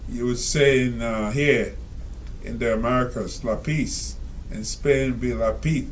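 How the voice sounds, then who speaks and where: Slow, rambling man with faint hiss. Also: medium pitch, fairly even intonation. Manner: slow, rambling, with many fillers and asides. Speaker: host reading and commenting on a reader's letter. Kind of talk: casual spoken commentary.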